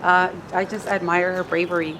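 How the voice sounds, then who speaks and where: Middle-aged woman, low-pitched, hesitant and repetitive, informal interview, heavy outdoor traffic noise. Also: little pitch movement, normal speed. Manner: hesitant, repeats words. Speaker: parent of a student activist. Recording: loud outdoor urban ambience with vehicles.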